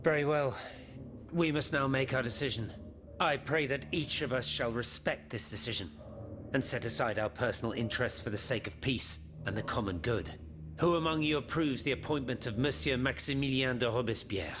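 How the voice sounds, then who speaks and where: An actor playing a dignified presiding chairman of a revolutionary assembly in a game cutscene, formal and measured. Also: medium pitch, background noise, lightly acted. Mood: measured, official. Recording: music under the voice.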